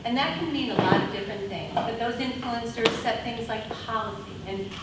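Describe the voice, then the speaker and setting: Woman, conference presenter, loud lively voice, no mic, booming echoey room, heard from a distance, background noise. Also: brisk. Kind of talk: workshop presentation with audience questions. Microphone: speaking without a mic.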